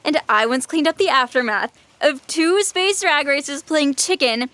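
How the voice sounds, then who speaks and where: A sharp, high female self-destruct program, acted by a woman in a sci-fi comedy audio drama. It is theatrical, taunting and in love with destruction, recorded very dry. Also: animated, unhurried, no echo. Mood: smug admiration. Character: Dee, gleefully destructive shape-changing self-destruct program.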